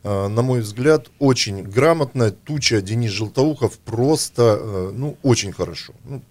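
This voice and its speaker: A man's voice: mid-range voice, measured pace, darkish tone. A man hosting a radio talk show, chatting casually with many hesitations and fillers. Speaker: radio host.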